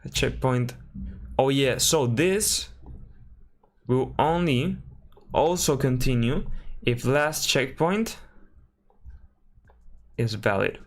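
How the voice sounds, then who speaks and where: A man from Spain with a Spanish accent, thinking aloud while building a game on a live stream and often correcting himself with a quick sorry. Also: mid-range voice, lively intonation. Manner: mutters through steps, apologizes and corrects himself often.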